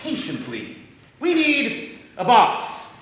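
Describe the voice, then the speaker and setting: A man's voice: very high-pitched, huge pitch swings, slow pace, fairly bright tone, exaggerated voice. An actor playing a flamboyant showman who narrates and turns into a celebrity chef, performing a live staged reading of a musical. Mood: playful. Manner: theatrical, playful, flamboyant. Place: theater stage. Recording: echoey stage, faint noise.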